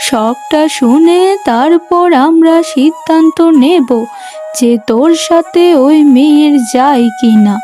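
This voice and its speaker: High-pitched proud cartoon mother, played by a woman. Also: slow, warm tone, soft background music. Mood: questioning. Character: status-proud, domineering middle-aged mother of a grown son. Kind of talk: cartoon family drama dialogue.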